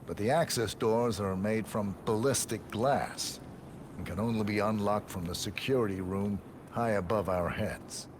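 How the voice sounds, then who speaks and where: An actor playing a measured, understated guide in a video game, dry and deliberate. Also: male voice, lowish voice, lightly acted. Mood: dryly genial. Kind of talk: scripted video game acting. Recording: noisy game ambience behind.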